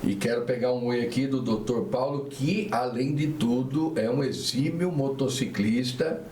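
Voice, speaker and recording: A man's voice: medium pitch, normal speed, darkish tone. Chatty male podcast host, echoey room. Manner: chatty, leans on tag questions. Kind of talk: casual interview chat. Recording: echoey room, mic at a distance, faint noise.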